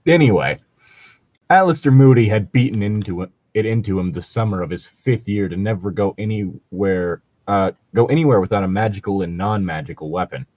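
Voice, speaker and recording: Deep-ish guy goofing around on a comedy reading show, rambly and sweary, giggly, pretty dry sound. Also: a little fast, darkish tone, no room sound. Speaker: host of a bad fan fiction reading show. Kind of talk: casual joking banter and reading aloud.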